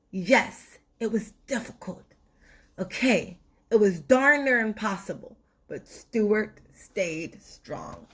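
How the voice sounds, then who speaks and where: A woman reading a storybook aloud in an expressive children's narration style, with deliberate dramatic pauses. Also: medium pitch, husky. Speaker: storybook reader.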